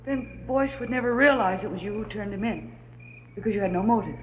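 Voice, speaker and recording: A woman acts a fearful young society girl in vintage radio drama. Her voice is medium in pitch, hesitant and earnest. The recording is muffled, with slight noise. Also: fairly quick, lightly acted. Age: young adult. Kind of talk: 1940s radio melodrama acting.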